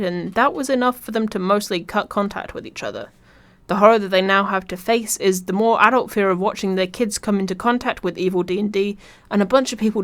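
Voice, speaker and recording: A woman reading a scripted video essay in an informal way, with wry, dry asides. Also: on the low side. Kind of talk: scripted video essay narration with casual humour.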